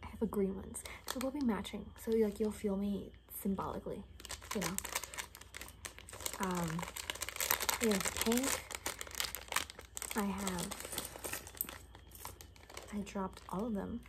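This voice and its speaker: A young woman speaking in a soft, breathy whisper, improvising a casual, rambling ASMR roleplay with a gently sardonic manner. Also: mid-range voice, fairly even intonation, takes it slowly, pauses often. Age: young adult. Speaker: ASMR creator playing a caretaker. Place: small home bedroom, indoors. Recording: faint background room noise.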